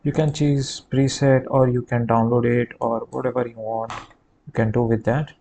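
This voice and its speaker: A man giving a halting, hesitant step-by-step software tutorial over a screen recording. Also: mid-range voice, flat delivery. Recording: clear background noise.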